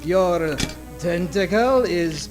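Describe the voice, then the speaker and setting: A man playing an oily, lecherous stranger in an old-time radio serial, speaking haltingly with over-polite insinuation. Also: sits high, animated, faint music behind, full character voice. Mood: creepy delight. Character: oily, lecherous stranger offering gifts to a lady.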